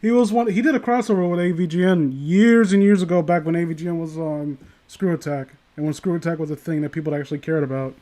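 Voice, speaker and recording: A man with a somewhat high voice talks casually as host of an online group call. He rambles through his opinions in an informal, profane way. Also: quick pace, hardly any pauses, warm tone. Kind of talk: casual chat, opinionated commentary.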